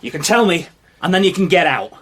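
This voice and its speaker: A man's voice: sits high, animated, quick pace, heavy background noise. An actor playing an indignant, defensive man accused of wrongdoing, in an agitated radio drama style. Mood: angry, defensive. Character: indignant, defensive male blackmail suspect.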